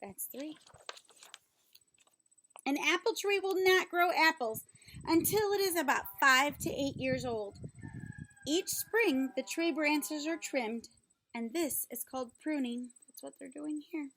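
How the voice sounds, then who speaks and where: A woman reading a children's book aloud like a teacher, pausing to explain things simply and ask questions. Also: sits high, a bit bright, a little hiss or hum, rough recording.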